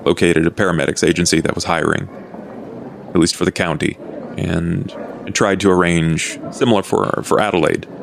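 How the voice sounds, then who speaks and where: Guy doing old polite vampire gentleman for an rpg podcast, real low n gravelly, formal and deliberate. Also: fairly even intonation, background noise. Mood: measured, explaining. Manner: formal, deliberate, understated phrasing.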